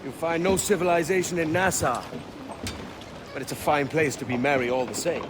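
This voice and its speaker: An actor playing a brash, cocky young pirate captain with a Welsh accent and a wry, bantering manner in a video game. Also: male voice, a little high, moderate pace, background noise, close to an everyday voice. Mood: playful banter. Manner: wry, bantering, seafaring turns of phrase. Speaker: ship's captain. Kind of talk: video game cutscene acting.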